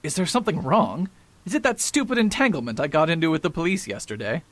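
Man playing proud male detective, pompous, precise, wordy, radio drama, higher-pitched, swooping intonation. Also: sing-song, quick pace, no room sound. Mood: puzzled, indignant.

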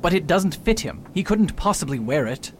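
A man's voice: a little high, sing-song, moderate pace, slight background noise. A man playing a pompous skeptical doctor. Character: proud skeptical doctor-criminologist, impatient. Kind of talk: amateur radio drama acting.

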